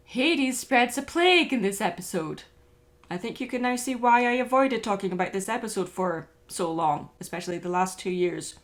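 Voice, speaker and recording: A woman's voice: mid-range voice, expressive, normal speed. A woman hosting and narrating a scripted video essay.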